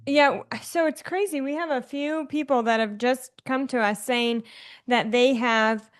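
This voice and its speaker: A woman's voice: sits high, measured pace. Curious woman host asking questions. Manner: curious, asks questions directly. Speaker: podcast host. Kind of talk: conversational interview.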